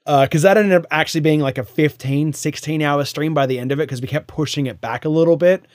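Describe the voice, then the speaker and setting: A young man co-hosting a card game podcast, chatty with quick asides and frequent laughter. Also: a little high, no echo, studio quality. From Australia. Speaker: podcast co-host. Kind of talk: casual chat.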